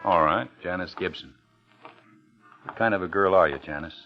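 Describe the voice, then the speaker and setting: Casting: smooth-talking hard-boiled man, bass-leaning, guarded, vintage radio noir with music underneath. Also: moderate pace. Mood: smooth, probing. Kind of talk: 1950s radio drama acting with noir narration. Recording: dull old radio recording, music underneath.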